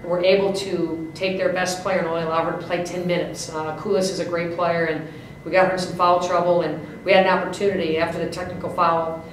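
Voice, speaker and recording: A woman's voice: on the low side, little pitch movement, brisk. A woman in her fifties, a basketball coach at a postgame press conference, speaking in an upbeat, emphatic way with many restarts and fillers. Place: press room, indoors. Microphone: desk mic on stand. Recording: echoey room, mic at a distance, faint hiss.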